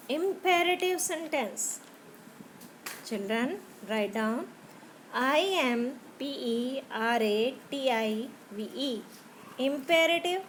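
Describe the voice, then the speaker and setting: A woman teacher with an Indian English accent, slowly spelling out grammar words letter by letter for young pupils. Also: fairly high pitch, slight background noise, low-quality recording. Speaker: school teacher.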